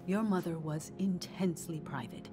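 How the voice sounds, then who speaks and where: A woman's voice: fairly low pitch, normal speed, music in the background, close to an everyday voice. An actress playing an evasive, pious middle-aged woman, guarded and dismissive, in a video game drama. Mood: guarded, curt. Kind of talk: naturalistic video game acting.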